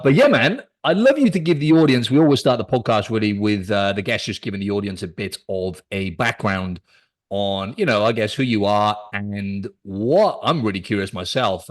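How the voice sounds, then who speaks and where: A man from Wales with a South Welsh accent, hosting a podcast interview over a call in a casual chatty way, calling his guest "bro" and "man". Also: lowish voice. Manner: informal, peppers speech with "bro" and "man". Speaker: podcast host.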